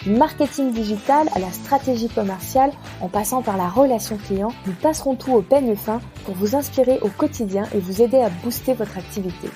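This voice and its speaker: A woman's voice: a little high, brisk, few pauses, dark tone, soft background music. A woman with a French accent speaking as the host and interviewer of a podcast. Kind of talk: podcast intro and conversational interviewing.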